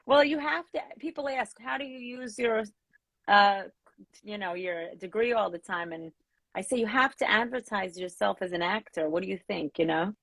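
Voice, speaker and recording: A young woman hosting a live online talk show, her voice at an ordinary middle pitch. She is playful and bubbly, laughing between her lines, speaking over a video call. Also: normal speed, a bit bright. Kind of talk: casual live interview chat.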